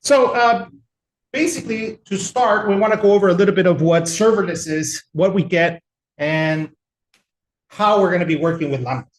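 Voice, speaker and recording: A man from El Salvador giving a relaxed, conversational technical webinar with frequent fillers. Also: high-pitched, normal speed, roomy sound, slight background noise, low-quality recording. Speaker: webinar presenter.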